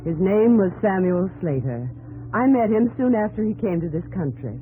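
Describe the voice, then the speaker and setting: An actress playing a gentle young New England woman who tells her story earnestly and with measured delivery in a 1950s radio drama. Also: medium pitch, big pitch swings, narrow, tinny audio, faint music behind, lightly acted. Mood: earnest, wistful. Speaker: narrator and actress.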